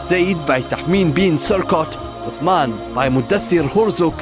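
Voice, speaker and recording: Guy announcing radio drama credits, scripted, kinda high voice, music behind. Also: lively intonation, measured pace.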